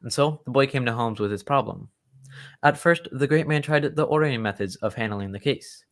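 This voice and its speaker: Genteel, old-fashioned gentleman storyteller for a radio play, played by a man, mid-pitched, loyal and excitable. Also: a little fast, lightly acted. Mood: earnest storytelling. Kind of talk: old-time radio drama acting.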